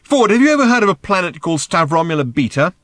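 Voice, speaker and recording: A man's voice: high voice, big pitch swings, fast, a bit bright, dry recording, clean studio sound, pitched above the performer's own voice. A man voicing an earnest, matter-of-fact male character in an audiobook, explaining things insistently with a raised voice. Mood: emphatic. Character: earnest, matter-of-fact man raising his voice over a din.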